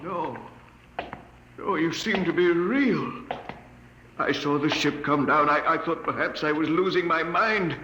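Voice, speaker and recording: Casting: long-isolated old man, halting with wonder, high and bright, vintage radio play. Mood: dazed wonder. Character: dazed, long-isolated old man, doubting his senses. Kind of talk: 1950s radio drama acting. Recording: echoey, dull, noisy old radio recording.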